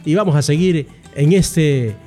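A man's voice: on the high side, a little slow. A man presenting a radio marathon and introducing the next speaker. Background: soft guitar music.

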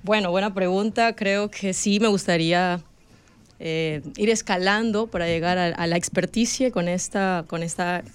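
A woman's voice: lowish voice, steady tone, moderate pace, clear, resonant tone, dry recording. A woman from El Salvador with a Salvadoran accent, chatting casually and with a giggly manner as a guest singer in an interview. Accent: Salvadoran Spanish.

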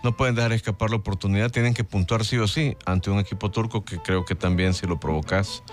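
A man's voice: fairly low pitch, fairly even intonation, fast talker, soft background music. A man on a radio football panel, making witty, teasing remarks. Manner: witty, teasing, joking asides. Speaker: football pundit. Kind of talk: radio sports panel chat.

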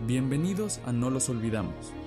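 A man's voice: medium pitch, animated, moderate pace, music in the background. A man announcing a programme in scripted intro narration.

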